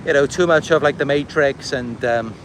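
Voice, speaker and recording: A man from Wales with a Welsh accent, talking casually and a little haltingly in a rambling livestream monologue. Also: mid-range voice, a little hiss or hum. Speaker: livestream host and content creator. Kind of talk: casual livestream monologue, answering viewer comments.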